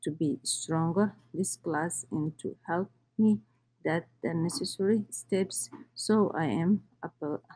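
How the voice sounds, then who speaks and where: A woman, reading her own life story in halting English. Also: lowish voice, mellow, a little hiss or hum, poor audio. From Somalia. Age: 30s. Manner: halting, with restarts and non-native grammar. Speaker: immigrant storyteller.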